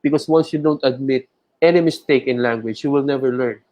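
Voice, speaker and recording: A man's voice: medium pitch, fairly quick, darkish tone. A man with a Filipino accent, an English trainer, speaking frankly in a casual chat and often correcting himself mid-word. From Philippines. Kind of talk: casual conversation.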